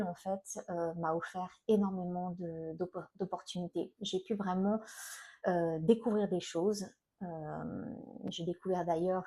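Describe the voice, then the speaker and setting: A middle-aged woman with an accent from France, talking informally to her viewers in a personal vlog, often pausing to find her words. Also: lowish voice, dark tone. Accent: French from France. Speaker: self-employed energy healer and coach, video host.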